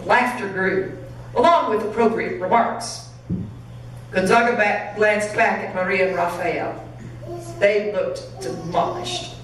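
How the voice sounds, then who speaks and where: A woman's voice: mid-range voice, lively intonation, slow pace. Woman storyteller in a very echoey church. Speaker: pastor reading a story aloud. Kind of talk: expressive storytelling, read aloud to an audience. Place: large reverberant church. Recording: very echoey church, distant mic, faint noise.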